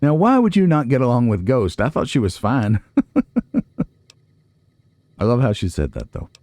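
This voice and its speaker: A man's voice: medium pitch, sing-song, a little slow, mellow, no room sound. A man giving casual gameplay commentary, chatty and folksy, musing aloud to himself. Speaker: let's play host.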